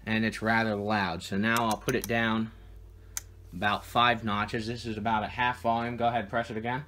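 A man's voice: fairly low pitch, little pitch movement, moderate pace, background noise. A man giving an informal, matter-of-fact demonstration of equipment as a video host, recorded indoors at home.

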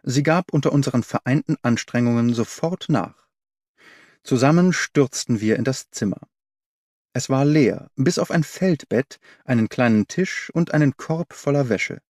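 A man's voice: medium pitch, expressive, moderate pace, darkish tone, no room sound, studio quality. A man reading scripted dramatic narration as an audiobook narrator. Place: dry studio.

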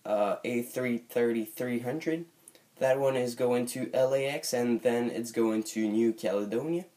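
A man's voice: medium pitch, darkish tone. A man from Montreal with a Quebec French accent, hesitantly showing off his model airplane collection in a casual vlog, full of ums and uhs. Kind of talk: casual show-and-tell vlog. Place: bedroom with model airport table, indoors.

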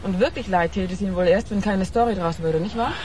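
An actress playing a cool, bitter bereaved sister in a radio crime drama, curt and reproachful. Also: female voice, mid-range voice, brisk, dark tone, a little hiss or hum, close to an everyday voice. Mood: bitter, reluctant.

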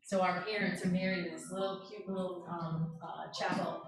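A woman's voice: on the low side, fairly even intonation, moderate pace, over music. An American woman co-presenting an informal talk, sharing family memories and acting out little remarks as she goes. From Connecticut, USA. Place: echoey indoor presentation room. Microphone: far room camera or microphone. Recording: very echoey room, distant mic, audience noise.

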